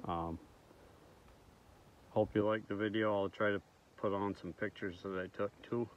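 A man's voice: fairly low pitch, fairly even intonation, measured pace. A man in his thirties talking casually to a phone at arm's length outdoors in a forest, rambling with frequent ums. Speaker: outdoors vlogger. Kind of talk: casual vlog to camera. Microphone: phone held at arm's length. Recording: tinny phone audio with faint outdoor noise.

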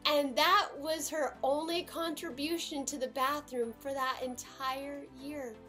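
A woman's voice: sits high, fairly even intonation, unhurried, bright, forceful tone, soft background music. An American woman in her twenties telling a personal story to camera at home, dramatic and emphatic. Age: 20s. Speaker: storytime vlogger. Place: small room at home.

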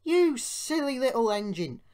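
A woman's voice: high voice, very animated, measured pace, mellow, pitched above the performer's own voice. A woman voicing a good-humoured, teasing engine driver in a children's storybook. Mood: amused. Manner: chuckling, teasing.

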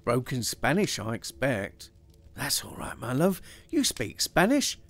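A man's voice: medium pitch, huge pitch swings, measured pace, a bit bright, voice pushed up, heavily acted. A man reading aloud and voicing a dry, sardonic husband who makes light of a guest's arrival. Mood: wry.

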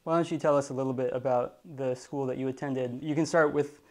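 A man's voice: mid-range voice, steady tone, brisk, darkish tone. A young man in his twenties hosting an interview in a living room, prompting his guest with friendly questions. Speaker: host. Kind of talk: interview questions to a live audience.

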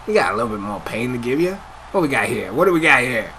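A young man giving loud, excitable live commentary over a wrestling video game, his voice getting hoarse. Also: mid-range voice, quick pace, a little hiss or hum. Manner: hyped, shouting play-by-play calls. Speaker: gameplay commentator.

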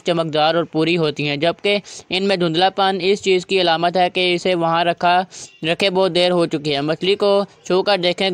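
A young man from Pakistan hosting a vlog, narrating facts about a fish market in a steady, formal way. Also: high voice, clean tone, no room sound. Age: young adult. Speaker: vlog host.

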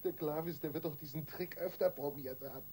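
An actor playing a guilt-ridden, weak-willed husband in a radio crime drama, halting and defensive. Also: male voice, on the high side, steady tone, mellow, slight background noise, natural voice. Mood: agitated, anxious.

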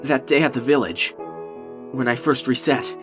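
A man voicing an anxious, guilt-ridden young goat monster prince in an animated comic dub, with a hesitant, expressive delivery. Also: mid-range voice, moderate pace, lightly acted. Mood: fearful, regretful. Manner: hesitant, self-doubting, expressive. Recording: music behind the voice.

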